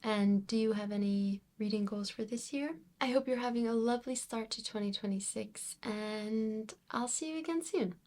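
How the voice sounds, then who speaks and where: A woman's voice: on the high side, normal speed, hardly any pauses. Enthusiastic woman hosting a book vlog. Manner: enthusiastic, expressive. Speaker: book reviewer video host. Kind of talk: casual book wrap-up vlog, talking to viewers.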